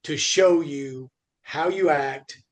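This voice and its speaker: Male podcast co-host, voice a bit above average, conversational, stop-start and insistent, circles back over key phrases. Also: a little high. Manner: halting, repetitive, emphatic. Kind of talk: conversational industry podcast talk.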